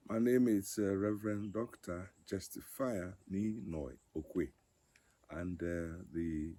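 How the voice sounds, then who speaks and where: Older ministry director, very low dark voice, husky, halting measured delivery. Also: male voice, fairly even intonation. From Ghana. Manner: measured, with frequent pauses. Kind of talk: interview testimony.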